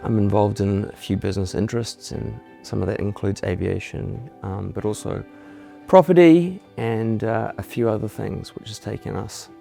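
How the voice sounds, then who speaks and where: Gravelly low-voiced New man. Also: normal speed. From New Zealand. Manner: candid, restarts words, warm praise. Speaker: homeowner giving a client testimonial. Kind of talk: relaxed testimonial interview. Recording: soft music underneath.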